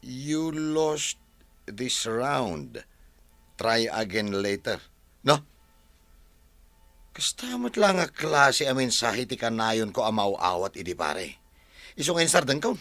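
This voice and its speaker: An easygoing gambling buddy in a radio drama. His voice is bright and swings freely in pitch, in a dry, close studio sound. He talks like an ordinary, chummy friend. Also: very animated, slow pace, pauses often, no room sound, heavily acted. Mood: casual, chummy. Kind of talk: Filipino radio drama acting.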